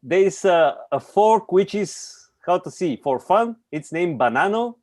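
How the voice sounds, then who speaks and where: Man from Bulgaria, English, unhurried, dry close mic. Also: high-pitched, lively intonation, a little mellow, dry recording. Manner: halting non-native phrasing with laughs. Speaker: meeting participant, programmer. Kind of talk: casual self-introduction on a call.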